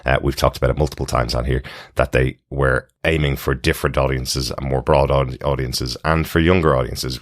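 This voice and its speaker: A man hosting a podcast, talking casually through entertainment news in a measured, halting explanatory way. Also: rumbling low, no echo, studio quality. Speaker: podcast host.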